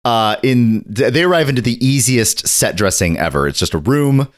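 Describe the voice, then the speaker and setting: A man talking with a lot of lift and swing in his voice, jumping from deep asides to high, excited bits. He's a bit gravelly from a head cold and keeps riffing and joking through his rundown. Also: moderate pace. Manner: animated, jokey, drifts into bits and riffs. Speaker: podcast co-host. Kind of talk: casual chat.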